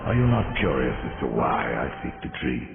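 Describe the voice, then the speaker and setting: A man playing a grim, relentless horseman of Death in a video game, terse and scornful, with a deep gravelly voice. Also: big pitch swings, a little fast, heavily acted. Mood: solemn. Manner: curt, menacing. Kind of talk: dramatic video game acting. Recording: muffled, echoing, music underneath.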